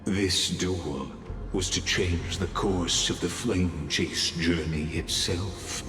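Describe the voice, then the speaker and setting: A man's voice: low-pitched, fairly even intonation. A man playing a grandiose, theatrical narrator of an epic drama, speaking in a solemn and stately manner. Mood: stately, dramatic. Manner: solemn, stately, measured delivery. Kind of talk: scripted dramatic storytelling. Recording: echoey reverberant hall with loud music.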